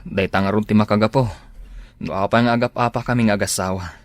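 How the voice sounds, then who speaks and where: An actor playing a weary, henpecked husband in a radio drama, with an Ilocano accent. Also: male voice, lowish voice, steady tone, quick pace, no room sound, close to an everyday voice. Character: weary, henpecked husband, plaintive and put-upon.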